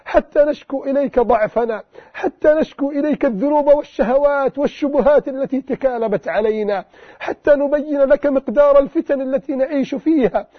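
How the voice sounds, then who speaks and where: High-voiced man preaching over tinny audio. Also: brisk, no room sound. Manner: impassioned, pleading, repetitive refrains. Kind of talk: emotional sermon addressing the Prophet. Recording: tinny phone-like lo-fi recording.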